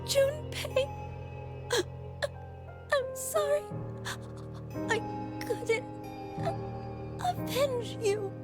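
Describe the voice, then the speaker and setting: Loud music surrounds a distraught young woman in a video game drama. Her voice is extremely high and her words come very slowly, halting and apologetic. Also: full character voice. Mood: anguished, sorrowful. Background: loud game soundtrack music.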